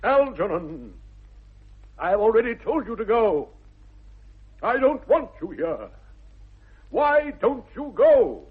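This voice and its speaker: An actor playing an exasperated, proper young gentleman with an upper-class English accent, huffy and earnest, in 1960s radio comedy style. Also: high voice, very animated, slow, pauses often, slightly rough, full character voice. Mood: petulant. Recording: muffled narrow-band vintage radio recording.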